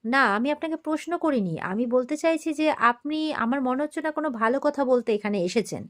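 A gentle but firm older-generation mother played by a woman narrator speaks plainly and fondly in a family drama. The voice is fairly high and moves along quickly. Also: lively intonation. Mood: firm disapproval.

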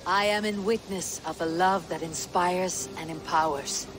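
An actress playing a steady, commanding female Viking chieftain in a video game, speaking in a measured, stately way. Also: fairly low pitch, noisy background, lightly acted. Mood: solemn. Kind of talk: dramatic video game acting.

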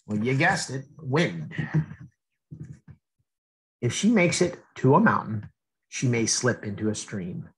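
A man's voice: medium pitch, sing-song, slow, long pauses, slightly rough, poor audio. A man reading a children's picture book aloud expressively, addressing young listeners with questions and asides. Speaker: read-aloud storyteller.

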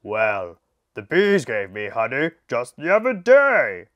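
A woman voicing a big, sleepy, hungry male bear in a storybook, speaking slowly and loudly. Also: very deep voice, wildly swooping pitch, dry recording, highs cut off, voice pushed down, exaggerated voice. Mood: drowsy.

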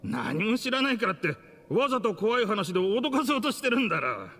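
An actor playing a brash, excitable young gangster in an anime game, with rough, informal speech. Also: male voice, high-pitched, a little fast, long reverb tail, full character voice. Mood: shocked, grieving.

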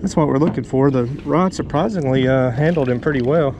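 A man of middling pitch talks casually to himself as he reels in fish from a small boat outdoors. His voice is caught by a head-mounted action camera. Clear background noise and reel clicks sit under his voice. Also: brisk. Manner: relaxed, talks to himself while fighting fish. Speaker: fishing vlogger. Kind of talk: casual running commentary.

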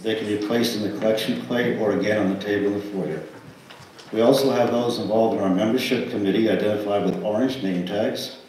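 An older man reading a formal, measured welcome to a congregation as a church trustee. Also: on the low side, steady tone, a little mellow. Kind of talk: scripted service welcome and announcements. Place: small church sanctuary, indoors. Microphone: pulpit microphone, picked up by distant camera. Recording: echoey church hall, distant mic, faint noise.

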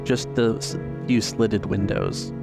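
A man working as game master, improvising narration for a tabletop roleplay with halting, measured phrasing. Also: lowish voice, huge pitch swings, creaky. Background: music.

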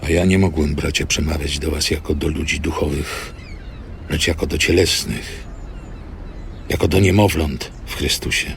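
Mężczyzna, bardzo niski, chropawy głos. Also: male voice, a bass voice, fairly even intonation, normal speed, gravelly, slight background noise. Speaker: narrator reading a Bible letter. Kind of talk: solemn scripted Bible reading.

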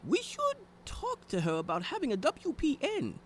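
A man playing an excitable hacker sidekick who muddles his words in an audio drama. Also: extremely high pitch, moderate pace, a little hiss or hum, exaggerated voice. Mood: eager, excitable. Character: excitable, malapropism-prone male hacker sidekick.

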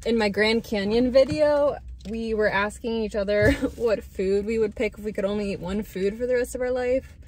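A woman's voice: a little high, normal speed, a little mellow, a little hiss or hum. A young woman in her twenties, casually chatting to a camera while sitting inside her car on a road trip. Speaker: travel vlogger. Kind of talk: casual vlog chat. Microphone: handheld camera on the dashboard.

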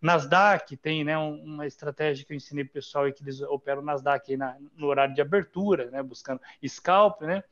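Man, a bit high-pitched, chatty teacher, lo-fi call audio. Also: fairly quick, hardly any pauses, fairly bright tone. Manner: chatty, tag questions. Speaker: trading teacher and host. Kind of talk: casual explanation on a video call. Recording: dull lo-fi video call audio.

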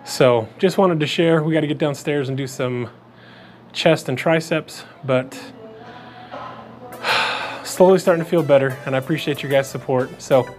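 A man's voice: a little high, unhurried, pauses often, soft background music. A man talking casually to his viewers as a vlogger, in a plain-spoken, conversational way. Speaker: vlogger on a weight loss journey. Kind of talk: casual vlog talk to camera.

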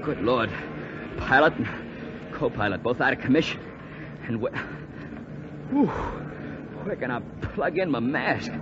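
A man in a 1940s radio drama playing an eager, wisecracking young bomber crewman, excitable and slangy. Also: mid-range voice, unhurried, long pauses, natural voice. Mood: shocked, gasping. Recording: noisy muffled 1940s radio broadcast recording. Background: loud aircraft engine noise.

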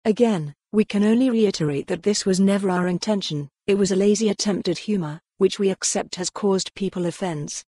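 Breathy, dry text-to-speech news reader. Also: mid-range voice, fairly quick, hardly any pauses, no echo. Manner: steady and even, reads page links and headings out word for word. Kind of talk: reading a news article aloud.

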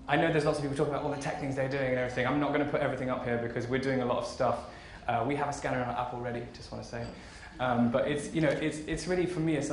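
A man aged thirty giving an informal, enthusiastic conference keynote in a conversational way. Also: mid-range voice. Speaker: keynote speaker, fashion marketing executive. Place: large conference auditorium stage. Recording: roomy hall echo, faint background noise.

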